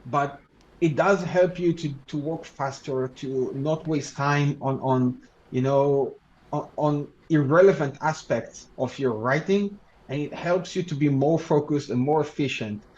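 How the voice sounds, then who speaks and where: A man whose first language is Hebrew, a law researcher and lecturer answering interview questions in a measured, halting way with frequent restarts. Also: a little high, a little mellow, muffled recording. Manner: measured, halting, with many restarts and "you know".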